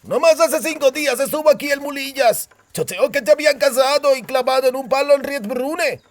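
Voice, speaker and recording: A man voicing a blunt, rustic dwarf mine foreman in an audiobook, with creaky, slangy speech. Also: extremely high pitch, a little fast, pitched above the performer's own voice. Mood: blunt, grumbling. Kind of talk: audiobook character dialogue.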